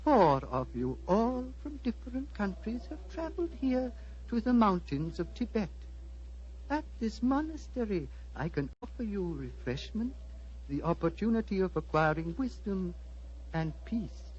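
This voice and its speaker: High-voiced, grave old abbot. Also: male voice, very animated, darkish tone, husky, heavily acted. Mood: courteous, grave. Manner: measured, formal, paternal. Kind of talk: 1940s radio acting. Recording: muffled, noisy old 1940s radio broadcast.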